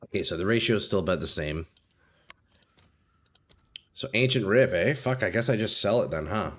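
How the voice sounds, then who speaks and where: A young man doing casual live commentary while playing a game, a bit congested and clearing his throat often, swearing and talking to chat. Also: on the low side, animated, normal speed, fairly bright tone. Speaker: game streamer.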